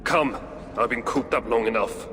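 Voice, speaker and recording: A gruff old dwarf played by a man in a video game, crude and sardonic, speaking at a middling pitch. He grumbles and banters with wry ill temper, over faint background noise. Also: moderate pace, sharp tone, natural voice. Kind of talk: video game cutscene acting.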